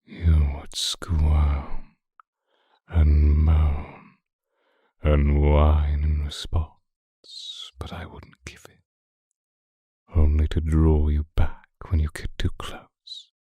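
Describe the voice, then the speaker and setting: An extremely low, dark-toned male vampire, acted by a man, murmurs seductively right at the microphone in an ASMR roleplay. His voice is very breathy and husky, often sinking to a whisper. He is possessive and teasing, taking his time with each phrase. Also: monotone, no room sound, heavily acted. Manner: slow, teasing, deliberate. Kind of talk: intimate ASMR roleplay monologue addressed to listener. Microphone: close microphone, dry.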